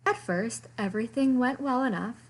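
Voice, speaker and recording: A woman reading a children's story aloud in an animated, expressive way, with dramatic emphasis on descriptive words. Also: fairly high pitch, normal speed. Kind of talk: expressive picture-book read-aloud.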